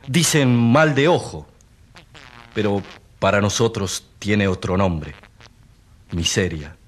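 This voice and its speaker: Earnest, animated rural doctor testifying. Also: male voice, medium pitch. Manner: didactic, deliberate, grave. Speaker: actor in a radio drama. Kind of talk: Spanish-language radio drama acting.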